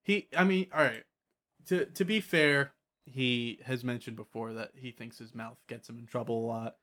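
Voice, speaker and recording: A man's voice: mid-range voice, steady tone, normal speed, a bit bright. A man chatting casually as a podcast host about tabletop gaming, often repeating words and trailing off.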